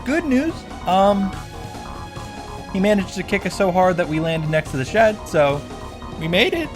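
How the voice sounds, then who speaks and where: A man's voice: high-pitched, big pitch swings, a little slow. A man narrating a story recap in a casual, wry way with dramatic emphasis. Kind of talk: casual scripted narration of a visual novel recap. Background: background music.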